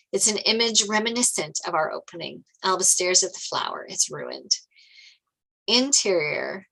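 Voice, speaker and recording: A woman's voice: lowish voice, vocal fry, sharp tone, rough recording. A woman reading screenplay stage directions as scripted narration.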